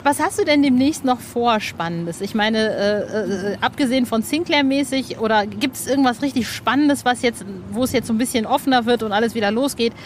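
Woman, high voice, chatty casual interviewer, enthusiastic, outdoor city noise behind. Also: brisk, few pauses. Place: outdoor roof terrace in a city.

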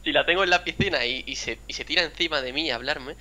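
A man's voice: on the high side, fast, background noise. A young man from a town near Seville with a mild Andalusian accent, chatting casually and rambling as he hosts a talk over a video game. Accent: mild Andalusian Spanish. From Spain, a town near Seville. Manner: rambling, chatty, acts out quotes. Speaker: gaming channel host.

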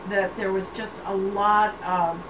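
Woman interviewer, far off, loud hum. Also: medium pitch, flat delivery, slow. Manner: hesitant, prompting. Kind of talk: interview questions. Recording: distant echoey room with loud hum.

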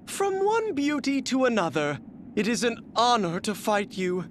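A flamboyant, beauty-obsessed video game warrior, speaking in a strikingly high, effeminate voice. He takes his time over every flowery phrase, refined and theatrical. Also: sing-song, a little slow, a little hiss or hum, full character voice. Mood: tender, admiring.

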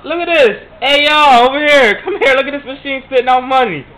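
A man's voice: extremely high pitch, fairly quick, pushed and bright. A man making a prank phone call as a customer, insistently repeating himself and talking over the other person. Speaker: prank caller. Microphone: telephone call. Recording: tinny phone line with faint noise.

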